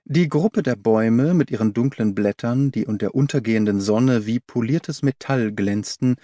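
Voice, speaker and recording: A man's voice: mid-range voice, normal speed, dark tone, no room sound. A man reading scripted dramatic narration as an audiobook narrator. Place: dry studio.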